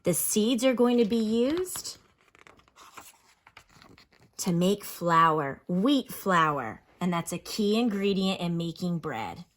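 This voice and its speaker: A woman teacher reading aloud to children and explaining as she goes, with expressive, instructional delivery. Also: fairly low pitch, slow pace. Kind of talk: read-aloud lesson with explanations and questions to children.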